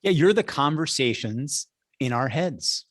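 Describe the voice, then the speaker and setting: A man's voice: medium pitch, lively intonation, a little slow, dry recording, studio quality. A man hosting an interview in a casual, conversational style, telling anecdotes and putting questions to guests. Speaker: interview host.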